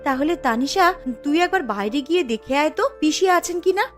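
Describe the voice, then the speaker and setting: A woman's voice: sits high, animated, quick pace, soft background music. A woman voicing an anxious young village wife and mother in a Bengali cartoon, with a Bengali accent. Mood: worried.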